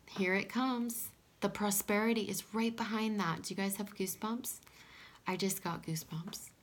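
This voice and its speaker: A woman giving a casual oracle card reading in a small room at home, her voice a little scratchy from pollen, soft-spoken and sometimes dropping to a whisper. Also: medium pitch, steady tone, moderate pace. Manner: soft-spoken, drifting into whispers, frequent "okay?". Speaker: spiritual card reader.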